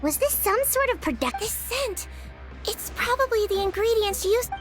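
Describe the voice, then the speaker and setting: A woman voicing a small, gentle, earnest young nurse in a video game, speaking politely and inquisitively. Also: very high voice, animated, normal speed. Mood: curious, pondering. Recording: game music underneath.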